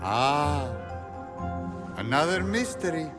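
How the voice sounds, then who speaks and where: A man's voice: fairly high pitch, very animated, takes it slowly. A man voicing a spaced-out, giggly temple priest who rambles dreamily, in a comic video game cutscene. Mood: dazed, musing. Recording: long reverb, music behind.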